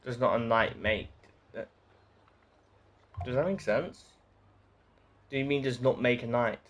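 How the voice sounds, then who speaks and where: A man's voice: medium pitch, measured pace, fairly bright tone. A man giving casual, off-the-cuff video game commentary as a streamer, wry and rambling.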